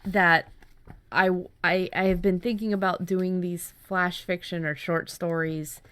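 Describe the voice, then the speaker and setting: A woman podcast host chatting casually about writing, telling things in an animated way with chuckles. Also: fairly low pitch, a little slow, smooth, dry recording. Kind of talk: casual chat about story ideas.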